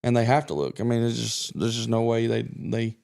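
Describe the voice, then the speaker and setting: A man's voice: medium pitch, fairly even intonation, a little fast, vocal fry, darkish tone. A man from Kentucky, a guest country singer, chatting on a podcast in a confident, rambling way with many restarts. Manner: self-assured, rambling with restarts. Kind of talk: casual podcast chat.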